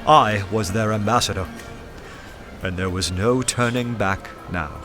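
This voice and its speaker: Detective narrator male actor: low voice, measured and uneasy, first-person radio drama, clear music bed. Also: close to an everyday voice. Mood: tense resolve. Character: anxious undercover detective man narrating his dread.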